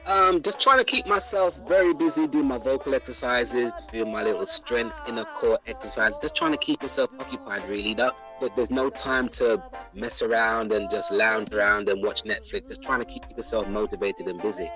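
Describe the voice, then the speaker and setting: A middle-aged man with a South London accent, a singer being interviewed, telling his story in an unhurried, reflective way. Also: medium pitch, music in the background, rough recording. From England. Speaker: guest singer. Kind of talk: relaxed interview talk.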